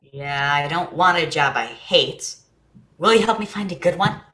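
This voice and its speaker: Bright, eager young boy, played by a woman. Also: deep voice, lively intonation, moderate pace. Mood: curious, eager. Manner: eager, informal, questioning. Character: curious, earnest young boy, a schoolchild. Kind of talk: staged reading of family drama.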